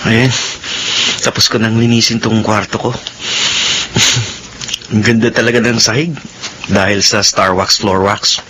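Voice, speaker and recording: A man's voice: lowish voice, sharp tone, a little hiss or hum. An actor playing a dutiful young man of the household in a Filipino radio drama, chatting and musing to himself. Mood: cheerful.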